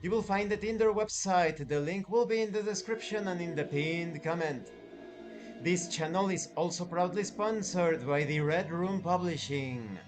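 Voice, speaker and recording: A man with a fairly high voice hosts a review over background music. He speaks in a deliberate, emphatic way, stretching out key words and pausing between short phrases as he presents. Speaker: tabletop game reviewer and channel host. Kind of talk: scripted-sounding review presentation.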